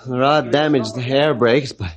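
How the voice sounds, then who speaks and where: A man playing a dazed, regretful train boss, speaking wearily and haltingly in an old radio drama. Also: mid-range voice, natural voice. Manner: halting, weary. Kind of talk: old time radio adventure acting.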